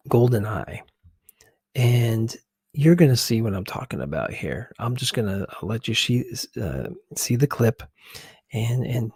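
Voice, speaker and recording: A man hosting a conversational ASMR commentary in a hushed, husky voice, halting as he searches for words. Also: fairly low pitch, dry recording, studio quality. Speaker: video host.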